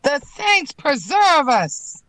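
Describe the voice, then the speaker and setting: Very high, excitable woman as a coworker. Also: slow, full character voice. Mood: shocked. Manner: excitable, folksy. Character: skeptical, excitable card-playing coworker. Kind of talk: old-time radio comedy acting.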